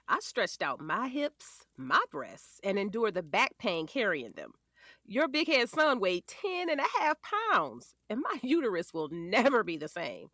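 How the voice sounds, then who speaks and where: A woman's voice: on the high side, sharp tone, dry recording, studio quality, exaggerated voice. A woman playing a doting but firm wife and mother in her forties, teasing and sassy, in a naturalistic audio drama. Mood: playfully sassy.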